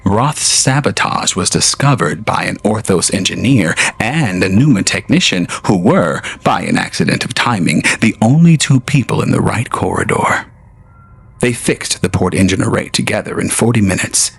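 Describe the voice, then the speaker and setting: A man narrating a scripted audio drama in a slow, solemn, deliberate way. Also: fairly low pitch, lively intonation, creaky, a bit bright, slightly rough. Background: faint music.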